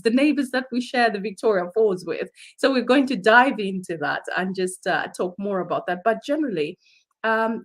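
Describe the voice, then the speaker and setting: Woman host asking measured questions. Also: medium pitch. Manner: friendly, measured questions with fillers. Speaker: talk show host. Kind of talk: interviewing a guest.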